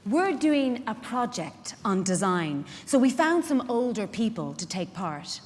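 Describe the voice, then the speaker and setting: A woman's voice: fairly high pitch, sing-song, measured pace, darkish tone. A woman with an Irish accent performing a live dramatic monologue as a feisty, protective care worker, in a conversational, wry manner. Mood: explaining, tender. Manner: conversational, wry, rhetorical questions to the audience. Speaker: actor in a dramatic reading. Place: large indoor event hall. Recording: echoey hall, live stage reading.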